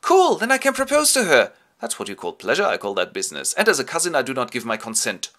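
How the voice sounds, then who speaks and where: A man voicing an idle, teasing young gentleman. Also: fairly low pitch, big pitch swings, fast.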